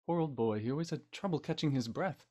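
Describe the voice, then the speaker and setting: An actor playing an older army doctor, breezy, folksy and matter-of-fact, in an audio drama. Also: male voice, on the high side, fast talker, mellow, natural voice.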